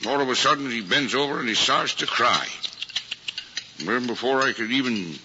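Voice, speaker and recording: An actor playing a good-hearted, hapless working-class cab driver with folksy, ungrammatical talk in an old-time comedy drama. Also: male voice, mid-range voice, moderate pace, close to an everyday voice. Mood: chatty storytelling. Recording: dull lo-fi vintage recording, faint noise.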